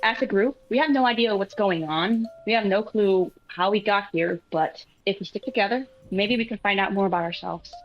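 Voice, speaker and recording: A woman playing a tabletop role-playing game, improvising her character's actions and lines with halting, hesitant phrasing. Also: medium pitch, dull audio, faint music behind. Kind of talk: casual improvised role-play narration.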